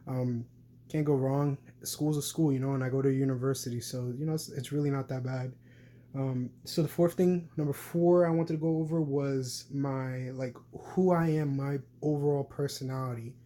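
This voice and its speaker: A man's voice: medium pitch, fairly even intonation, moderate pace, mellow. A young man, talking casually to camera in a rambling way with many fillers and restarts. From New York City. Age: young adult. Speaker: vlogger.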